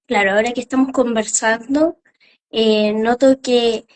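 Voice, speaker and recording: A woman's voice: a little high, steady tone, unhurried. Chatty woman hosting a live interview. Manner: warm, chatty, uses fillers "eh", "ujú". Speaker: live-stream host. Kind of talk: casual interview questions.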